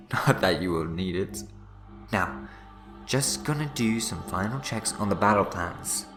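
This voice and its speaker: An actor playing a sly time-travelling schemer in a sci-fi fan audio drama, teasing and mock-polite. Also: male voice, low-pitched, expressive, measured pace. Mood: mock friendly. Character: sly, smug, meddling male alien time-traveller schemer. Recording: loud music behind the voice. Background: dramatic music.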